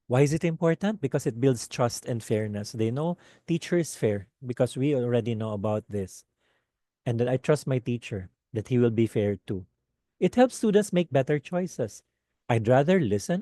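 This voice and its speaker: A man with a Filipino accent lectures teachers in a webinar, his low voice mellow and relaxed. He checks in with "okay?" again and again and keeps an animated delivery. The sound is dry and close, with no room echo. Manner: animated, repeats "okay?" often. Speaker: teacher trainer.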